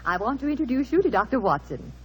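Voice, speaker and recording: An actress playing a stage-struck British wife in an old radio drama, theatrical and gushing, with a scolding edge. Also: female voice, fairly high pitch, very animated, fast, husky. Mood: gushing, eager. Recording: vintage radio recording.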